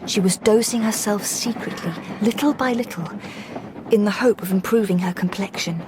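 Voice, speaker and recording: A woman's voice: medium pitch, normal speed, background noise, lightly acted. A woman playing an earnest, determined young Victorian wife in a radio drama, speaking in an articulate, intense way. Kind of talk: period radio drama acting.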